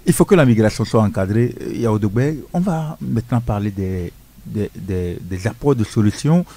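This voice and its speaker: A man hosting a radio discussion show, putting measured questions to his guests with frequent hesitations. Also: on the low side, expressive, mellow. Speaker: radio host.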